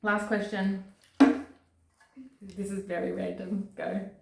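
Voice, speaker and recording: Croaky woman, rambling chat. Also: mid-range voice, little pitch movement, slow pace, echoey room, very noisy. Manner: chatty, rambling with many fillers. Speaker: host. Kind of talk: casual chat.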